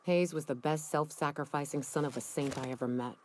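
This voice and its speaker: A woman playing a blunt, low-voiced frontier hunter. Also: fairly even intonation, brisk, a little hiss or hum, lightly acted. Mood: resolute. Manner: blunt, matter-of-fact.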